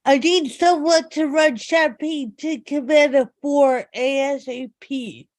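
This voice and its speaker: A woman's voice: high-pitched, fairly even intonation, slow pace, a little mellow, dry recording, voice pushed up. A woman voicing a nosy, demanding young bar waitress in a table read, with a drawling manner. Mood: bossy.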